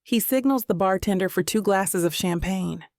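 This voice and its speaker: A woman's voice: mid-range voice, moderate pace, darkish tone, no room sound, studio quality. A woman reading a first-person romance audiobook as scripted narration.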